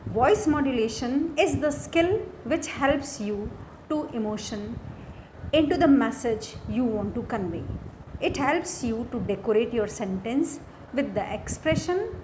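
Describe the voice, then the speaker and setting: Woman, Indian accent, higher-pitched, slow deliberate teaching delivery, faint background noise. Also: expressive, darkish tone, rough recording. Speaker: English lecturer. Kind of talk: scripted lesson narration.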